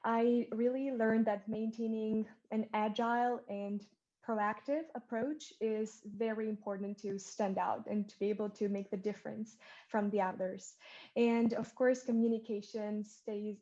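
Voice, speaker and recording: Mellow woman in a dull, lo-fi recording. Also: medium pitch. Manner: fluent, structured. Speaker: student intern. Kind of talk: panel interview answer.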